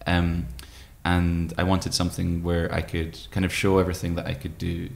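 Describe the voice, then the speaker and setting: Very deep, gravelly, hesitant man. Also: completely flat delivery. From Scottish Highlands. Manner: hesitant, thoughtful, halting. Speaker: guest, poet and musician. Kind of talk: reflective conversational interview. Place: room with tall windows, indoors. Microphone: microphone on desk stand.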